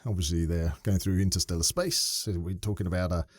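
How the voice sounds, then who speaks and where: A man co-hosting a podcast in casual chat, animated and playful, reading a synopsis aloud. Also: low-pitched, a little fast, no echo, clean studio sound. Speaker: podcast co-host.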